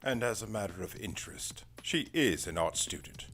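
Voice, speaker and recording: A man's voice: lowish voice, expressive, moderate pace, a bit bright. A man playing a formal, dry, weary older private investigator in an audio drama, speaking in precise, wordy sentences. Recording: music behind the voice.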